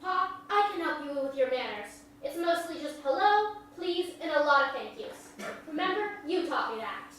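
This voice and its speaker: A woman's voice: high-pitched, lively intonation, normal speed, fairly bright tone. A woman playing an earnest, fierce young country girl in a staged drama, with polite rustic speech. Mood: gentle. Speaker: actor in a staged reading. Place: large echoey room at a live reading. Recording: echoey hall, distant mic, faint noise.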